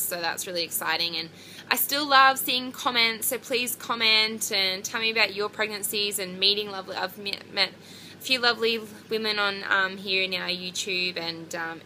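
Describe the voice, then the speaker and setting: A young woman talks to camera in a loose, rambling vlog, full of ums and likes. Her voice is low-pitched and bright, with a gravelly fry running through it. A faint hiss of background noise sits under the recording. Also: poor audio. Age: 20s. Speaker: pregnancy vlogger. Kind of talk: casual chat to camera.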